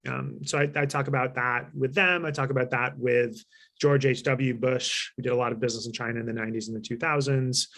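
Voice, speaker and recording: A man giving measured, articulate, opinionated answers as a guest author and consultant in an online talk. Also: mid-range voice, gravelly, fairly bright tone. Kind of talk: explanatory interview answers at a virtual talk.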